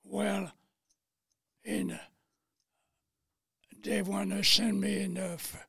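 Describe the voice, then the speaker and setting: A man in his late nineties with a French accent tells his own story plainly and haltingly. His voice is high and very husky, breaking into heavy fry. He takes his time between phrases as an interview guest. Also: flat delivery, bright, forceful tone, no room sound, studio quality. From Paris, France. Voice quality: raspy, thin, breathy. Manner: plain, halting storytelling in non-native English. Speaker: interview guest, war veteran. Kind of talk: recounting personal memories in interview.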